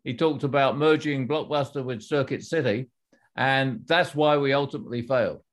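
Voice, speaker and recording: A middle-aged man, a former executive and author, answering interview questions in a measured, explanatory way with frequent restarts. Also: medium pitch.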